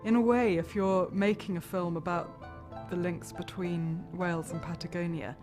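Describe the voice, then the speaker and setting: A woman's voice: lowish voice, fairly even intonation, normal speed, music in the background. A woman filmmaker in a documentary interview, explaining a decision earnestly.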